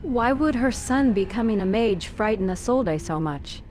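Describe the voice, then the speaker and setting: A woman's voice: fairly high pitch, normal speed, slight background noise, close to an everyday voice. A woman voicing a composed young female warrior hero in a fan-voiced video game. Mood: calm. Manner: calm, direct, questioning.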